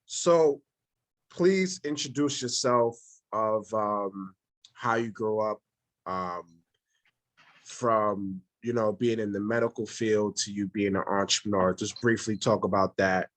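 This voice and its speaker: A man's voice: fairly low pitch, slow pace. A man hosting a casual podcast recorded at home, asking loose, repetitive questions. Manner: loose, repeats himself while asking questions. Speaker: podcast host.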